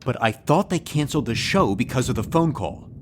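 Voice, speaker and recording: A male actor voices an earnest performer in an audio drama comedy, weighed down by guilt. His mid-range voice moves expressively as he confesses and protects. Slight rumbling noise lies behind it. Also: sing-song, a little fast, natural voice. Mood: grave, earnest.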